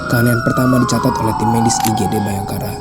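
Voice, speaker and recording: A man's voice: medium pitch, steady tone, very fast. A man reading scripted narration for an analog horror documentary-style story. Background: loud music.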